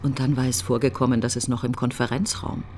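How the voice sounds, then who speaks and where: An actress playing a bitter, grieving middle-aged woman in a literary radio monologue, breathy and brooding, speaking in insistent fragments. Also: extremely low pitch, little pitch movement, quick pace, a little mellow, slight background noise. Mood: wistful, intent.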